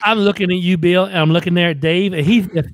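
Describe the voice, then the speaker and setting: Male podcast co-host, fairly high voice, teasing Southern drawl put on, dry close sound. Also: fairly even intonation, quick pace, dry recording. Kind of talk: casual comedic banter.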